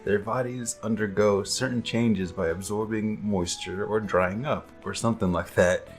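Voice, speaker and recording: A man's voice: on the low side, steady tone, normal speed. A young man chatting casually and reading aloud as a co-host, with a joking, irreverent manner. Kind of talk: casual comedic chat. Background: music in the background.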